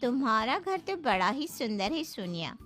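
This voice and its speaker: A woman's voice: a little high, sing-song, moderate pace, a bit bright, soft background music. A woman voicing a showy young modern socialite in a cartoon, with a gushing, dramatic manner. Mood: teasing.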